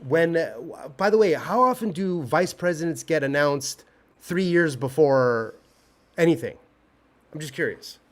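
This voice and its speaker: A man on a casual podcast, a touch higher-pitched than most men. He swears constantly and jumps on his co-host with animated, teasing jabs, laughing between lines. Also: unhurried. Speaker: podcast guest.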